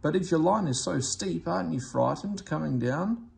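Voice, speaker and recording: A man voicing an old male engine, firm and knowing, gently teasing. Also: on the high side, expressive, moderate pace, gravelly, voice pushed up.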